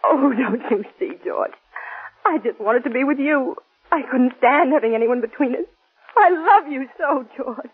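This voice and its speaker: Possessive young wife: highish husky voice, cold yet desperate melodrama, 1940s radio play. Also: female voice, expressive, moderate pace, full character voice. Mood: frantic, desperate. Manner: melodramatic, intense. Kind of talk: 1940s radio drama acting. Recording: muffled, scratchy 1940s radio broadcast.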